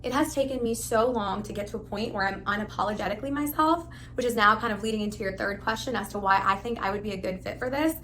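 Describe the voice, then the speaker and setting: A woman in her thirties, originally from Pakistan, giving a spontaneous, rambling, animated self-introduction. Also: medium pitch, fast talker, few pauses, slight background noise. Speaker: live stream host applicant.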